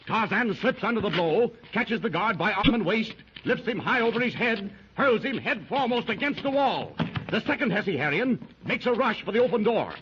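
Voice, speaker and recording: A man's voice: sits high, lively intonation, moderate pace, few pauses, fairly bright tone, slight background noise. A man narrating a 1930s radio adventure serial in a dramatic style that rises with the action. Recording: dull lo-fi 1930s radio recording.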